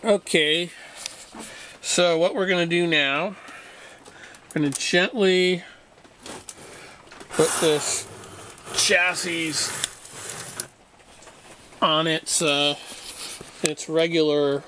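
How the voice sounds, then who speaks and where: A man giving an unscripted hands-on repair demonstration, explaining things in a halting, matter-of-fact way. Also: fairly high pitch, steady tone, background noise. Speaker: radio restorer demonstrating repair.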